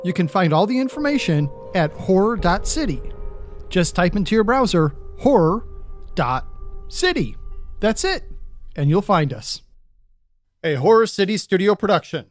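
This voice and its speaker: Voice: medium pitch, sing-song, moderate pace, pauses often. An announcer reading scripted podcast credits. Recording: music under the voice. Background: music.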